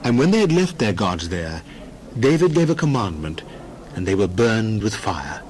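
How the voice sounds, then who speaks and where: Expressive male scripture narrator. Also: lowish voice, normal speed, a little hiss or hum, low-quality recording.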